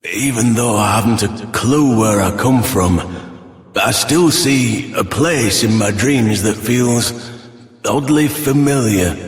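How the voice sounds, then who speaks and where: An actor playing a resolute, wistful veteran warrior who has lost his memory, speaking in a solemn, measured way for a video game. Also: fairly low pitch. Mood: wistful resolve. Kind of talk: scripted video game character monologue. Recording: echoey reverberant hall effect.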